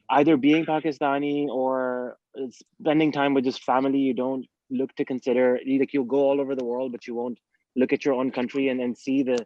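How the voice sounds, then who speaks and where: A man's voice: mid-range voice, darkish tone. A man speaking as a panel guest, eager and rambling with lots of fillers. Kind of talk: casual panel chat. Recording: dull lo-fi audio.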